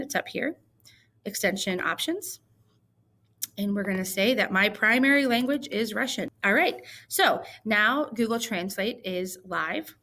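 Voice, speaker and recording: A woman teacher from Missouri walking viewers through a software tutorial in a chatty, explaining way with frequent fillers. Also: medium pitch. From Missouri, United States. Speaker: ELL coordinator and teacher. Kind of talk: screen-recorded tutorial.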